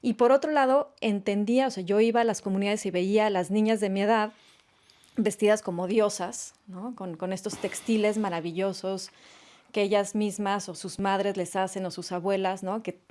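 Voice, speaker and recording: A woman's voice: mid-range voice, steady tone, moderate pace. Mexican woman telling her story. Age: late 40s. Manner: warm storytelling, frequent tag questions. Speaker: fashion designer guest. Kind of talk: conversational interview answers. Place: radio studio.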